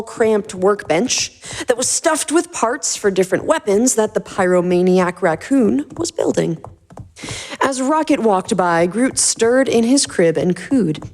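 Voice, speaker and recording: A woman hosting and narrating a fan fiction podcast with animated, scripted storytelling. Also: mid-range voice.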